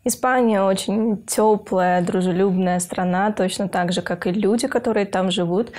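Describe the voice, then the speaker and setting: A woman's voice: medium pitch, fairly even intonation, moderate pace, darkish tone. Young woman student, earnest and hesitant. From Kyrgyzstan. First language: Russian. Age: young adult. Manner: earnest, with hesitant filler sounds and restarts. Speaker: university student. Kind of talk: interview for a university news feature.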